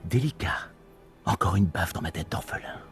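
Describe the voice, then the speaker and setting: A man's voice: sits low, expressive, normal speed, soft background music. A man voicing an arrogant, theatrical mad-genius doctor in a film dub, sneering and menacing with pompous, mocking speech. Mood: scornful. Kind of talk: French film fan dub acting.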